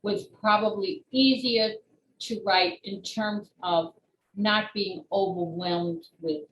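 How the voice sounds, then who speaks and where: A woman's voice: mid-range voice, steady tone, slow pace. A woman talking as an interview guest, an author explaining her work in careful detail. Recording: roomy echo, faint background noise.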